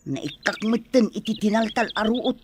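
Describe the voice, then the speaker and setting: A woman's voice: medium pitch, animated, moderate pace, a little mellow, a little hiss or hum, close to an everyday voice. A woman playing a practical, caring village elder sister in an Ilocano radio drama, with an Ilocano accent. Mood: concerned.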